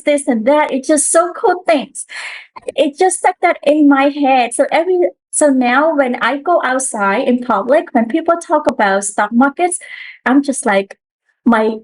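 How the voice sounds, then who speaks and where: Woman, fairly high voice, earnest storyteller, trips over herself and restarts. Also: low-quality recording. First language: Khmer. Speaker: interview guest, trader. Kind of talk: casual interview.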